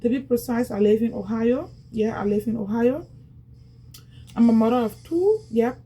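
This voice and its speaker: A woman's voice: fairly high pitch, normal speed, warm tone, slightly rough. Chatty Liberian woman vlogging. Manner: chatty, repetitive, talks straight to viewers. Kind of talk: casual product unboxing vlog.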